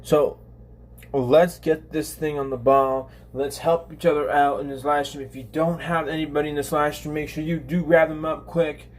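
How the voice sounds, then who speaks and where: A man in his twenties with a slightly high-pitched voice hosts a casual livestream. He speaks in a halting way, often repeating himself and restarting phrases as he addresses his viewers. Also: flat delivery. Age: 20s. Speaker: live streamer, channel host.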